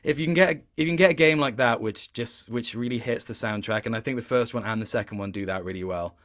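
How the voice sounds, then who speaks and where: A man's voice: mid-range voice, fast, fairly bright tone. A man giving a casual, reflective interview as a guest, speaking thoughtfully and often rephrasing as he searches for words.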